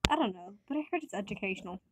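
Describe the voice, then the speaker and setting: A woman's voice: medium pitch, expressive, racing, close to an everyday voice. Woman acting brash teenage schoolgirl, faint noise. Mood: eager, blunt. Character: brash, foul-mouthed teenage schoolgirl in a comic. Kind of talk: amateur comic dub acting.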